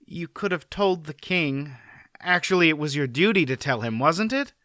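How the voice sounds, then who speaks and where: A man voicing a nervous teenage boy who falters, in a raised bright tone. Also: fairly high pitch, expressive, moderate pace, no room sound, clean studio sound, pitched above the performer's own voice. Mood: flustered. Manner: startled, faltering.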